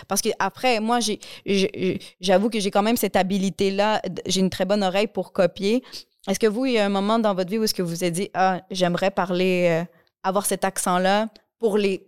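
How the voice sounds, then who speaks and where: A woman's voice: medium pitch, clear, resonant tone. A woman from Quebec with a Québécois accent, interviewing others and asking probing questions with frequent restarts and repeated words. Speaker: interviewer, discussion facilitator.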